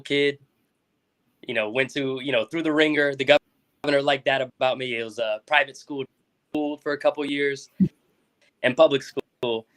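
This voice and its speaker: A man's voice: medium pitch, fairly even intonation, normal speed, long pauses, a bit bright. A young man from South Florida, a school board member as guest, talking casually with many restarts and fillers. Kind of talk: casual interview talk.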